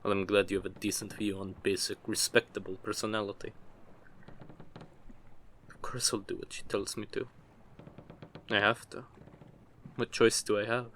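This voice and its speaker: A man playing a gruff, guarded captured mercenary with a soft side, speaking hesitantly and sardonically in an intimate audio roleplay. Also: fairly low pitch, little pitch movement, normal speed, long pauses, a little hiss or hum. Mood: bitter, protective.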